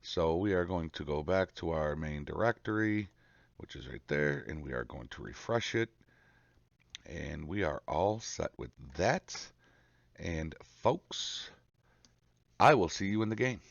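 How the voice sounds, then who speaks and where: A man hosting a casual step-by-step software tutorial in a folksy, reassuring way, often checking in with the listener. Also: extremely low pitch, lively intonation, a little slow, creaky. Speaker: tutorial host. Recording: muffled, roomy home recording.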